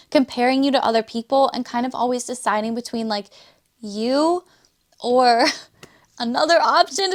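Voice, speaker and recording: A woman giving a casual, chatty tarot reading, losing her voice a little, with frequent fillers and laughs. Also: high-pitched. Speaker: tarot reader.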